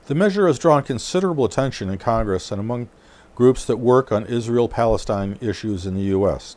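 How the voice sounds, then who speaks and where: A man's voice: medium pitch, animated, brisk, a little mellow, close to an everyday voice. A man voicing a neutral, probing news interviewer, reading formal questions in a scripted re-enactment. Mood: neutral inquiry.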